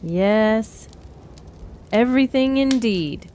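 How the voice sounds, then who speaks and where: A woman playing a cryptic, sorrowful wise woman who counsels youths in measured riddles in a fantasy radio drama. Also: fairly high pitch, animated, dark tone, slight background noise. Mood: probing, cryptic. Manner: measured, riddling, archaic storyteller phrasing.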